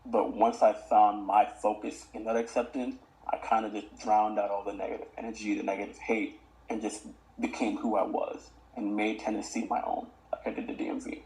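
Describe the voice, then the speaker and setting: Low, flat-voiced young man, reflective. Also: poor audio. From born in Uganda, raised in the Washington DC area. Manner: measured, reflective, frequent um fillers. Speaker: podcast guest. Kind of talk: casual interview talk.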